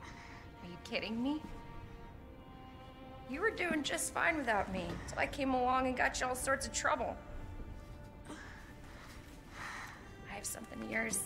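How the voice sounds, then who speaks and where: A woman's voice: sits high, a little slow, creaky, long pauses, over music. An actress playing a young woman, a caring and gutsy survivor, in naturalistic video game acting. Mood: gentle. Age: young adult. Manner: reassuring, earnest.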